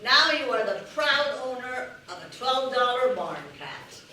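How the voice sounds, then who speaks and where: A dry, teasing antique dealer, read by a woman on stage. She takes her time over every line. The recording is distant and hollow, with steady noise behind her. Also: sits high, unhurried, very echoey, voice pushed up, heavily acted. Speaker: readers theater performer. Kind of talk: readers theater stage reading.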